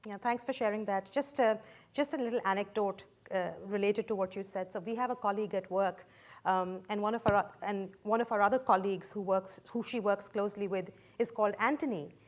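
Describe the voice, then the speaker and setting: A woman moderating a panel discussion, measured and questioning, citing statistics to her guests. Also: medium pitch.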